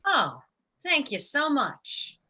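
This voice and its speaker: Woman playing a grand, sharp-voiced stage diva. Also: high voice, wildly swooping pitch, takes it slowly, heavily acted. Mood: sarcastic. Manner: theatrical, sardonic. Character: grand, wry theater star, a commanding woman. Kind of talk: radio play acting.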